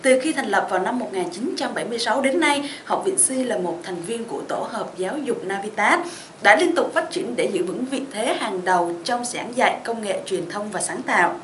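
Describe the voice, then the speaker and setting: A woman's voice: medium pitch, lively intonation, a little fast. A young woman giving a scripted presentation to camera as an education consultant. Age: young adult. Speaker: study-abroad consultant presenting a promotional programme. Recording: echoey room with faint noise.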